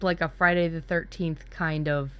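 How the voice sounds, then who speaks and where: A woman's voice: deep voice, fairly even intonation, normal speed, clean tone. A woman in casual brainstorming chat as a workshop co-host, talking candidly and dramatically, with some swearing. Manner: candid, sweary, dramatic about spooky ideas.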